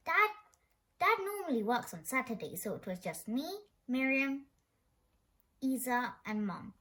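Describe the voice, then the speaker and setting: A woman reads a children's story aloud in an expressive way. Her voice sits a little high and moves up and down a great deal. She occasionally trips on a word and carries on. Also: unhurried. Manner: expressive, with stumbles over words. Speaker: storybook reader.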